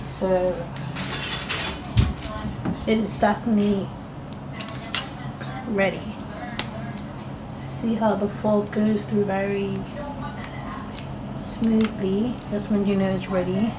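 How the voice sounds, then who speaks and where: A woman's voice: mid-range voice, little pitch movement, slow, pauses often, background noise. Hesitant woman narrating her cooking. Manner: hesitant, lots of ums and restarts. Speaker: cooking vlogger. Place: home kitchen, indoors.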